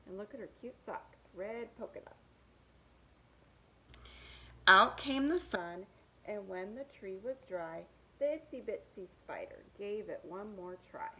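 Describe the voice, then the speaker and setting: Slow-paced woman reading to children. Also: mid-range voice, bright, forceful tone, poor audio. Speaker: read-aloud host for young children. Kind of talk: reading picture books aloud with asides about the pictures.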